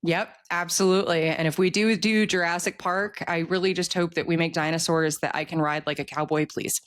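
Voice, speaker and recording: A woman's voice: lowish voice, steady tone, fairly quick. A woman speaking as an author guest in a casual interview, joking wryly and acting out quotes as she goes.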